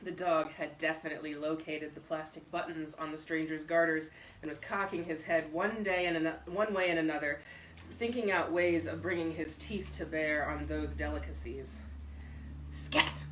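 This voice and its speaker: A woman reading a short story aloud as an expressive, scripted narrator. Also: low voice, normal speed, a little hiss or hum. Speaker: story reader. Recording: roomy echo, distant microphone.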